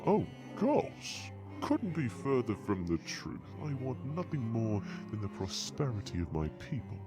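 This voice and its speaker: A man's voice: medium pitch, huge pitch swings, a little slow, music in the background. A man playing a haughty, scheming dragon suitor in an audio drama, formal and condescending as he taunts. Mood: smug, menacing.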